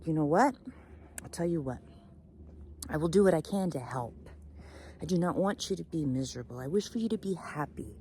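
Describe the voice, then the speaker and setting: A kindly monk from a storybook, acted by a woman, gentle and deliberate. The tone is reassuring and compassionate. Noise is plainly heard behind the voice. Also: low-pitched, animated.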